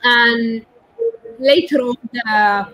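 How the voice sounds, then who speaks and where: A woman in her thirties with a Somali accent, explaining haltingly as an interview guest on a video call, searching for words. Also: on the high side, bright, forceful tone, a little hiss or hum, rough recording. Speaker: guest, doctor and women's rights activist. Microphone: laptop or phone on a video call.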